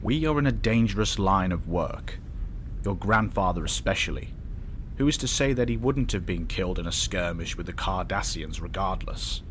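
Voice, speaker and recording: A man playing a measured, thoughtful starship doctor who speaks in calm, reflective science-fiction drama dialogue. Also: deep voice, slight background noise. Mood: gently consoling.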